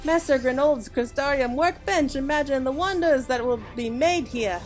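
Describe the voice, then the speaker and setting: A woman reads as a refined merchant chairwoman in a fantasy game. She speaks formally and eloquently in a higher voice, supportive of those around her, with faint music behind. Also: normal speed, darkish tone, natural voice. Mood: fond, encouraging.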